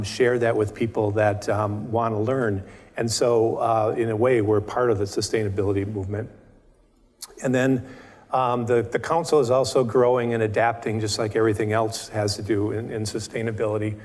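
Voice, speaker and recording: A man giving a talk to students as a panel speaker, halting, with many repeated words and ums. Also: mid-range voice, darkish tone. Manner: halting, many restarts and fillers. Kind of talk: presentation to students. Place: lecture hall. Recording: reverberant lecture hall.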